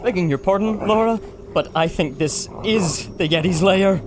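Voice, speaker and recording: An actor playing a resolute, level-headed expedition leader, earnest and dramatic in a pulp radio adventure. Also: male voice, high voice, moderate pace, over music, close to an everyday voice. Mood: sober deduction. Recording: loud storm and ambient noise.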